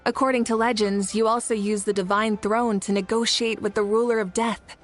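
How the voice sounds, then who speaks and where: A woman plays a composed female leader in an anime game. She speaks in a measured, courteous, slightly formal way, with a fairly high voice over background music. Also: little pitch movement, close to an everyday voice. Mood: earnest.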